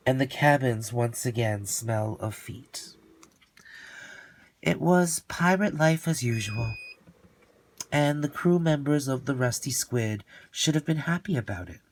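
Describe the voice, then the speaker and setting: A man narrates a children's storybook at a slow, unhurried pace. His reading is expressive and theatrical, stretching out words for emphasis. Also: mid-range voice.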